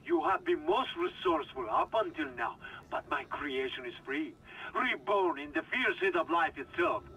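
An actor playing a grandiose, menacing fanatical scientist villain in a video game, with theatrical formal delivery. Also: male voice, fairly high pitch, very animated, moderate pace, bright, forceful tone, highs cut off. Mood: gloating menace.

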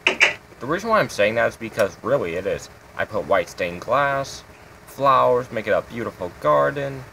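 A man's voice: mid-range voice, sing-song, normal speed, creaky, noisy background. A man hosting his own game channel, giving a casual, rambling tour of his builds with lots of fillers.

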